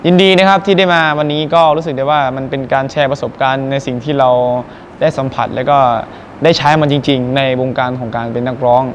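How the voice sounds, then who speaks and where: A man's voice: mid-range voice, fairly even intonation, quick pace, slight background noise. A young man, a singer mentoring students, speaking politely and earnestly to the camera in a presenter style, first outside a school and then inside a music school. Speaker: guest singer and workshop mentor. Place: outdoors by a school building, then indoors at a music school.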